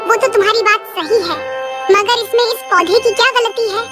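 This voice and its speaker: A woman voicing a poor, caring, practical village wife who gently chides, in a moral cartoon. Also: very high-pitched, moderate pace, over music. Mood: practical, coaxing. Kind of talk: Hindi moral cartoon story voice acting.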